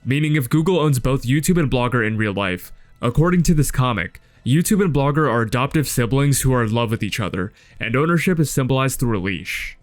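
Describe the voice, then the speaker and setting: A man's voice: mid-range voice, lively intonation, a little fast. A man giving casual scripted commentary in a blunt, sardonic, sweary way. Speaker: video essay host reviewing a webcomic. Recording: music under the voice. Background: background music.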